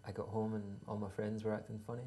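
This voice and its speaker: A Scottish man in his thirties talks in an unhurried, hesitant way, with dry humour and plenty of ums. His voice sits low and flat, with a gravelly rasp and a dark, mellow tone. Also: slight background noise. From Scotland. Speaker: musician guest. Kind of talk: casual interview answers.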